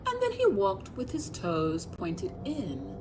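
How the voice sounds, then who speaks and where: A woman's voice: mid-range voice, huge pitch swings, slow, background noise. An American woman reading a picture book aloud as a children's librarian, expressively, speaking directly to young listeners.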